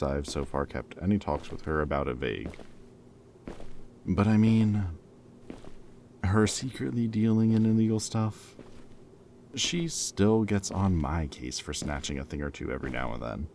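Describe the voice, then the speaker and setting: Guy acting as a reformed villain buddy, really low voice, playful n wry, chatty roleplay audio, some noise behind him. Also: normal speed, darkish tone. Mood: wry, joking. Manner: casual, wry, hesitant and bantering. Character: former villain, playful and loyal friend to a hero. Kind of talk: audio roleplay acting, casual one-sided conversation.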